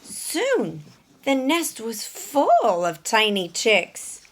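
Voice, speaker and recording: A woman reading a picture book aloud to children, often hushed, with expressive, deliberate narration and questions for young listeners. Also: fairly high pitch.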